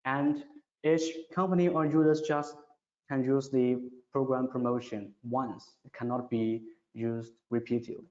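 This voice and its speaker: A man who is a non-native English speaker, answering audience questions as a webinar presenter, haltingly, in simple phrases. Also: medium pitch, steady tone, a little mellow. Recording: muffled audio in an echoey, reverberant room.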